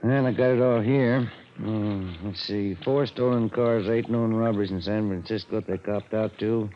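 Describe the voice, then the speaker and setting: An actor playing a matter-of-fact police detective in a clipped 1950s radio drama style. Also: male voice, lowish voice, steady tone, normal speed, lightly acted. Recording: dull, noisy 1950s radio recording.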